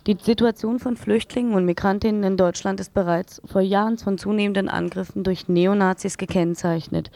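A woman's voice: medium pitch, fairly even intonation, fairly quick, hardly any pauses, warm tone, no echo. A woman radio presenter reading news and programme announcements in an earnest, factual manner.

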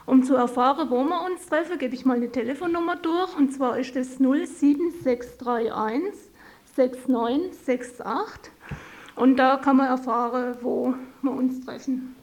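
High, mellow woman on lo-fi radio. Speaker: community radio editorial team member. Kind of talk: live radio presentation of a project. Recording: dull lo-fi radio recording.

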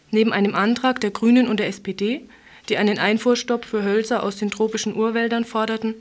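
A woman with a mid-range voice narrates a news report for radio. She reads from a script in the role of reporter. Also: mid-range voice.

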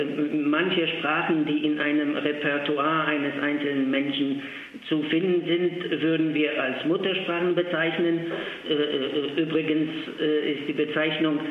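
Man on an echoey phone line. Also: fairly high pitch. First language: Polish. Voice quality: halting, many filled pauses. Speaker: interview guest, language centre official. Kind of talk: phone interview. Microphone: telephone.

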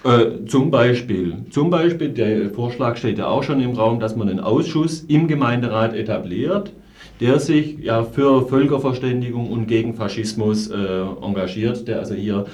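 A man's voice: mid-range voice, moderate pace, a little mellow. Earnest man in a political discussion. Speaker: political activist interview guest.

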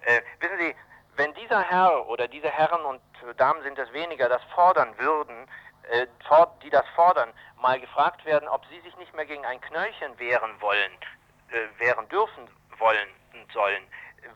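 Dull lo-fi radio sound; male interviewee, medium pitch, blunt and emphatic, halting. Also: lively intonation. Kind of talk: spoken opinion in a radio interview.

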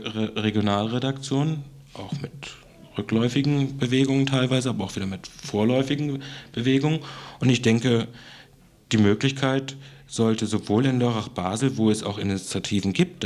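A man speaking off the cuff in long, winding sentences with a hesitant, halting manner. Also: fairly low pitch, a little mellow. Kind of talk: spontaneous spoken commentary.

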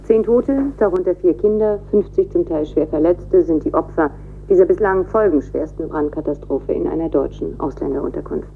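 A woman's voice: on the low side, normal speed. A woman reading a scripted news report in a grave, formal manner, heard in a played broadcast clip. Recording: tinny, noisy, humming off-air TV clip.

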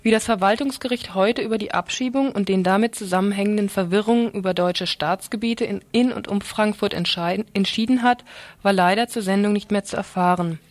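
A woman's voice: mid-range voice, a little fast, dry recording. A woman presenting radio news from a script in a formal manner, now and then stumbling over the text.

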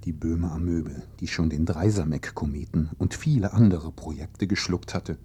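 A man narrating a satirical space adventure in a hushed, mock-serious tone. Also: sits low, moderate pace, a little hiss or hum. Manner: hushed, whispering in places.

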